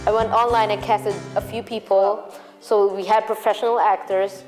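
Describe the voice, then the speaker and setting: Woman from the philippines, casual student chat, mid pitch, faint music under. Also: level tone, moderate pace, a little mellow, low-quality recording. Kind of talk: casual interview.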